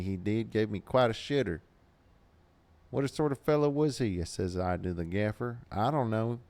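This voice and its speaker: A man's voice: lowish voice, darkish tone, pitched above the performer's own voice, natural voice. A man voicing a young male hobbit servant with a Southern US accent, telling a tale in a folksy, rustic way.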